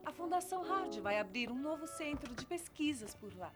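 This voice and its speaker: Dramatic, swooping young antiheroine. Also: female voice, high voice, expressive, normal speed. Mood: exasperated. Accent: Brazilian. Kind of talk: cartoon dub acting. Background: cartoon soundtrack music.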